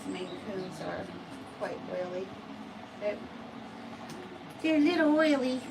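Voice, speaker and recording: An American woman who grooms cats, chatting slowly through a bath demonstration and often asking "right?". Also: medium pitch, animated, vocal fry, distant mic, noisy background. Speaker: cat groomer. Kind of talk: casual livestream grooming demo.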